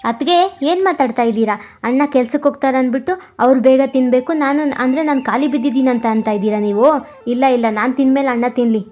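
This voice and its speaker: Woman as a touchy young husband, high-pitched. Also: fast, a little mellow, faint music behind, natural voice. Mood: angry. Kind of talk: animated moral-story cartoon voicing.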